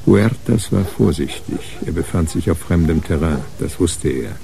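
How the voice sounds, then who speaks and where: A man acting as the measured narrator of a hard-boiled crime radio play. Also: deep voice, animated, gravelly, crowd noise. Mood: measured, narrating. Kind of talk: scripted narration in a radio drama.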